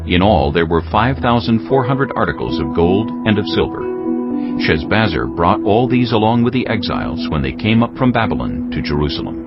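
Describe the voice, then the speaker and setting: A man narrating scripture from a script in a measured, formal manner, as part of a dramatized audio reading. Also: on the low side, big pitch swings, muffled recording. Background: music.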